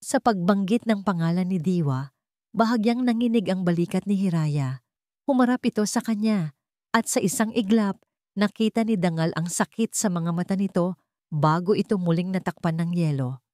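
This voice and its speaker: A woman's voice: lowish voice, moderate pace, dark tone, no room sound, studio quality. A woman with a Filipino accent reading a scripted romantic drama as a story narrator.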